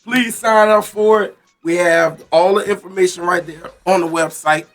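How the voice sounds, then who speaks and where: A male radio show host, a bit hoarse, chatting in an animated, slangy way and repeating himself. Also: high-pitched, normal speed, soft background music. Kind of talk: casual radio show chat.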